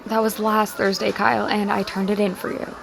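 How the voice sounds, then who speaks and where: An American woman playing an earnest, grieving teenage high school girl in an audio drama, speaking haltingly and emotionally. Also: level tone, gravelly, slight background noise, close to an everyday voice, poor audio. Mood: hurt, hesitant. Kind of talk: naturalistic audio drama dialogue.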